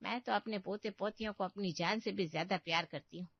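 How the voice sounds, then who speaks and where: A woman's voice: mid-range voice, fairly even intonation, fairly quick, creaky, no room sound, highs cut off. A woman playing a nagging, meddling older aunt in a cartoon story, with a scolding, pitying and boastful manner. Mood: reproachful. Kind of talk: dramatic Hindi cartoon story acting.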